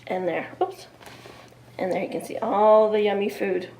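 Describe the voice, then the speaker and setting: A woman in her thirties reading a picture book aloud, expressively and deliberately, with explaining asides to young children. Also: mid-range voice, fairly even intonation, dark tone, a little hiss or hum, rough recording. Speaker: children's storyteller. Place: small indoor room, seated before a cloth backdrop.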